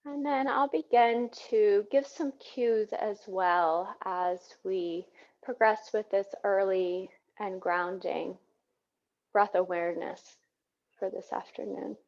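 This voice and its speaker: A woman teaching yoga, guiding breathing in a soothing, gentle manner with unhurried phrasing. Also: medium pitch, steady tone. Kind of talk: guided yoga breathing instruction. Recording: dull, muffled lo-fi sound.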